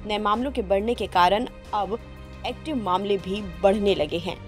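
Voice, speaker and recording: A woman's voice: medium pitch, normal speed. A woman reading a scripted news bulletin in a formal manner. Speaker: news presenter. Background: background music.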